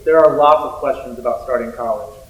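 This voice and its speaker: A man's voice: medium pitch, fairly quick, muffled recording. A young man delivering scripted student readers theater lines from a distance in a reverberant hall. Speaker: student performer. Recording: echoey hall, distant from mic.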